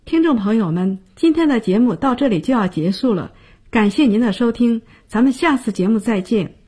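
A woman teaching a radio language lesson in a slightly high, mellow voice with a breathy edge. She explains each point clearly and speaks straight to her listeners, recorded close on a studio microphone. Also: lively intonation, normal speed. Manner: clear, instructive, addresses listeners directly. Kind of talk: scripted radio lesson narration.

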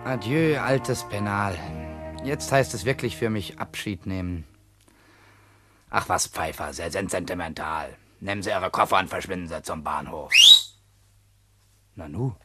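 A man's voice: deep voice, a little slow, long pauses, fairly bright tone, soft background music. An actor playing a playful, romantic grown man posing as a schoolboy in a German radio play, dryly teasing. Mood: wistful. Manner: dry, teasing. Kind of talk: German radio drama acting.

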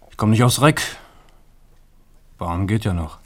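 A young man with a low voice, awkward and laconic. He gives things out in short, dry fragments, in the understated manner of a radio play. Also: lively intonation, unhurried. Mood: deadpan, resigned. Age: young adult. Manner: terse, clipped, matter-of-fact fragments. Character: awkward, laconic young man, student hopeful, unlucky and deadpan. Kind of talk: naturalistic radio drama acting.

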